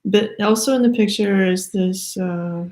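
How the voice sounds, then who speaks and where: A woman with a Canadian accent giving a halting, thoughtful spiritual card reading, full of fillers and restarts. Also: medium pitch. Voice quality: soft-spoken, hesitant, many fillers and restarts. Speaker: tarot reader.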